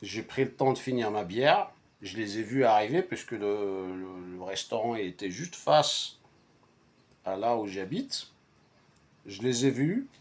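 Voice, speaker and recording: A man whose first language is Arabic, telling a personal story casually in a halting, repetitive and emphatic way. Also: fairly low pitch, animated. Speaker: storyteller recounting a personal anecdote to friends.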